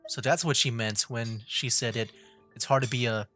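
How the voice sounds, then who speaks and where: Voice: medium pitch, steady tone, moderate pace, sharp tone, faint music behind. A streamer casually commenting on a game, thinking aloud informally and reading dialogue choices out.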